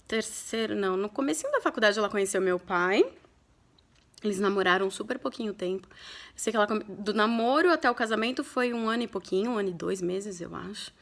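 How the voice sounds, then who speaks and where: Candid woman in her thirties. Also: mid-range voice. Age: 30s. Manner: candid and reflective, with frequent pauses and restarts. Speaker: vlogger. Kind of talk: personal storytelling to camera.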